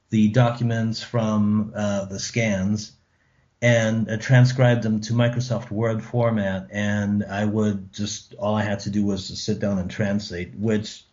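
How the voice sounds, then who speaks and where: Slow-spoken German-born man, hesitant. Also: lowish voice, darkish tone, muffled recording. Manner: thoughtful, hesitant with many ums. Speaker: author and translator, guest. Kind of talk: radio interview.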